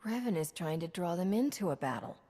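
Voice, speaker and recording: A woman's voice: on the low side, animated, brisk, dark tone, husky. An actress voicing a determined woman hero in a video game, who talks in a direct, firm way.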